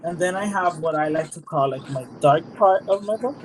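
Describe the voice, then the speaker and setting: A woman from Puerto Rico talks easygoing and loose as a guest on a show. Her speech is filled with "like" and "um". Clear background noise sits under her voice. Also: fairly low pitch, normal speed. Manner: relaxed, many fillers like "like" and "um". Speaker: guest practitioner. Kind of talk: casual show-and-tell chat. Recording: noisy livestream audio.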